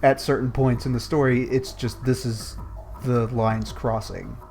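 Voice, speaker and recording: A man's voice: medium pitch, flat delivery, unhurried, darkish tone. Man explaining casually over faint music. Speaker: podcast panelist.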